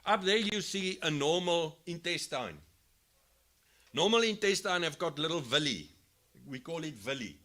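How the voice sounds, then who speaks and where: A man's voice: on the high side, expressive, unhurried. A man with a South African accent giving a live expo lesson on livestock health to farmers, explaining step by step and often restarting his phrases. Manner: says he has a loud voice. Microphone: handheld mic, later speaks without it.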